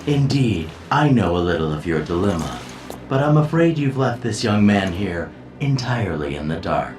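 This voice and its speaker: An actor playing a smooth, eloquent schemer with an insinuating, theatrical manner in a video game cutscene. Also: male voice, sits low, sing-song, moderate pace, a little mellow. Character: smooth, theatrical, scheming male manipulator counseling heroes. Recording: roomy echo, distant, music behind.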